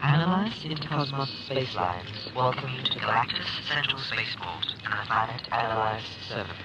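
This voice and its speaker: A man's voice: medium pitch, animated, normal speed, natural voice. A man playing an official spaceport announcer in a sci-fi audio drama, giving formal public announcements. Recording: muffled, very noisy tannoy-like sound.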